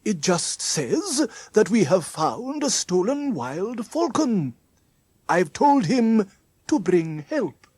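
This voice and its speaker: Narrator doing smooth scheming gent from a kids story, patronising, slow, wildly up and down. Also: medium pitch, very animated, darkish tone, no echo, pitched above the performer's own voice, exaggerated voice. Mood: reassuring, coaxing. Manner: coaxing, condescending endearments. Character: genial, smooth-talking gentleman, patronising and scheming. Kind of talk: children's storybook character voice.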